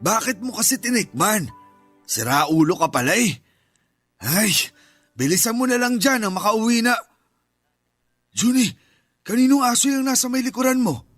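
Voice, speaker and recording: A cranky, superstitious uncle who peddles folk cures , played by a man in a radio drama. His voice sits high for a man, he scolds his nephew and spooks easily, and soft music plays behind him. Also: lively intonation, moderate pace, long pauses, no echo. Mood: grumbling. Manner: scolding, jumpy and fearful. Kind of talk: Tagalog radio drama acting.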